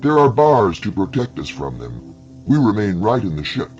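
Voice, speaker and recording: Man reading as reassuring low-voiced alien father. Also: huge pitch swings, normal speed, a little mellow, lo-fi sound, faint music behind. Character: male alien horse-spider creature, contented, reassuring father. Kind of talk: dramatised storytelling.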